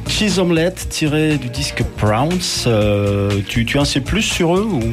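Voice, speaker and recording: A man's voice: on the high side, animated, unhurried. A man hosting a music radio show, interviewing guests in a casual, conversational style. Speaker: radio host. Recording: music playing under the voice.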